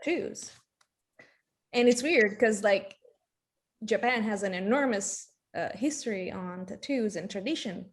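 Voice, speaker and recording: A woman's voice: mid-range voice, normal speed, breathy edge. A woman from Greece who is a singer and runs tattoo shops, talking in a shy, hesitant way in a casual chat. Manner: shy, hesitant, laughs often. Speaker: singer and tattoo shop owner.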